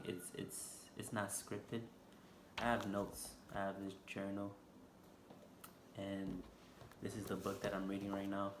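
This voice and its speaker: Haitian guy vlogging, low monotone voice, echoey room w noise behind. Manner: slow, halting, many fillers. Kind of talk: unscripted casual vlog talk. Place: indoor room, seated on a stool.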